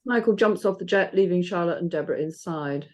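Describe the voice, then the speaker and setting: A woman's voice: on the low side, a little fast, darkish tone. A woman reading out stage directions as scripted narration.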